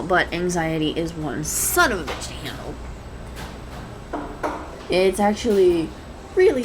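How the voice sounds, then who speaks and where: A woman's voice: medium pitch, lightly acted. A woman playing a shy, anxious, adorkable young woman in an intimate roleplay audio, rambling hesitantly and stammering. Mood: anxious, spiraling. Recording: clear background coffee-shop ambience noise. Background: coffee shop ambience sound effects.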